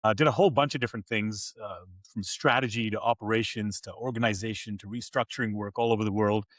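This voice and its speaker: A man's voice: fairly low pitch, fairly even intonation, fast, fairly bright tone, dry recording. A man in his later years who grew up in Hong Kong, answering interview questions thoughtfully with frequent restarts. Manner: thoughtful, many fillers and restarts. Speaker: podcast guest.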